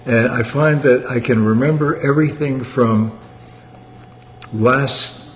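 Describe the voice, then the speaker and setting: A man's voice: medium pitch, slow, long reverb tail, a little hiss or hum. A man in his eighties from Canada, chatting casually and rambling, often ending sentences with "okay?". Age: 80s. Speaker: guest of honor on a family livestream. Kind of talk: casual chat.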